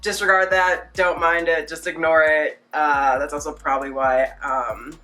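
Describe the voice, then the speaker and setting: A woman with a low, bright voice that sounds a little stuffed up from a cold. She talks casually and loosely to viewers of her music reaction vlog, rambling as she thinks aloud and leaning often on "like". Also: steady tone, normal speed. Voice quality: slightly nasal, congested. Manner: chatty, rambling, filler-heavy with frequent "like". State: getting over a cold. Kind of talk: casual unscripted reaction commentary.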